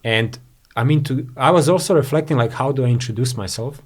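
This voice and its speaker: A man's voice: mid-range voice, brisk. Rambling man from Croatia, says "you know". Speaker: podcast guest, entrepreneur. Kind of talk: casual interview chat.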